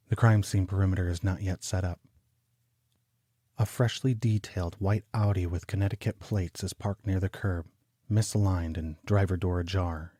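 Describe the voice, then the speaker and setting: An actor playing a brooding, obsessive homicide detective, narrating a noir audio drama in a clinical, deliberate manner. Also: male voice, low-pitched, mellow, no echo, clean studio sound. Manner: clinical, deliberate, measured delivery. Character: brooding, obsessive male homicide detective recounting grim cases.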